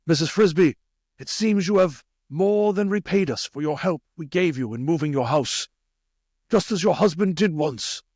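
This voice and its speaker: A man's voice: fairly high pitch, darkish tone, no echo, studio quality, pitched above the performer's own voice. A man voicing a solemn rat leader who speaks with grave formality, as a storybook character.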